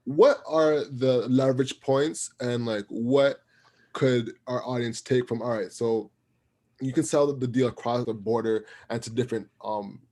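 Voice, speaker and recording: A man's voice: mid-range voice, steady tone, measured pace, darkish tone. A man hosting a podcast interview, asking long questions in casual slang. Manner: casual slang, enthusiastic reactions. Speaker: podcast host.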